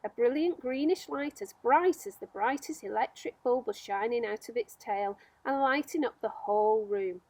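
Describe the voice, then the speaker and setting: A woman reading a children's story aloud as a storyteller, with expressive narration. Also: a little high, normal speed, few pauses, no echo, low-quality recording.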